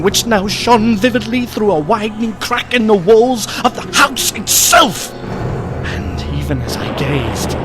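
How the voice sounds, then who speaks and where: An actor playing a horrified gentleman narrator in a gothic radio play, telling the tale with dramatic dread. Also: high voice. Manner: dramatic, dread-filled storytelling.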